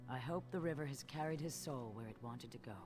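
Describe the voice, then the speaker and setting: An actor, a woman, playing an earnest, newly appointed guildmaster in a fantasy game, speaking in a measured, formal way. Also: very deep voice, darkish tone. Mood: solemn. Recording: game music underneath.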